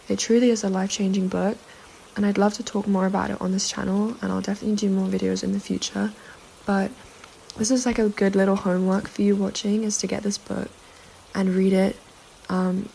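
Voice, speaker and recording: A woman's voice: medium pitch, gravelly, mellow, a little hiss or hum, low-quality recording. A young woman vlogger, slightly under the weather, giving earnest, reassuring advice to camera with frequent fillers. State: slightly sick. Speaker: self-help vlogger. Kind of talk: casual advice talk to camera.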